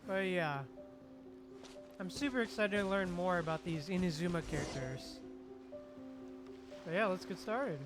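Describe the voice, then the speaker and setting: Male game streamer: chatty, informal, clear game music in the background. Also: sits high, a little slow, pauses often. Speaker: game livestreamer. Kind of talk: casual live gaming commentary.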